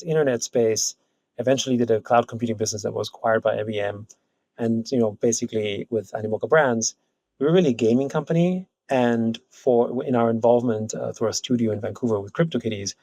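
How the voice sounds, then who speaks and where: Gravelly man from Austria, dry close sound. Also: medium pitch, dry recording. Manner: relaxed, rambling with many fillers. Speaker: guest, company founder. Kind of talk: casual interview talk. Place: Hong Kong.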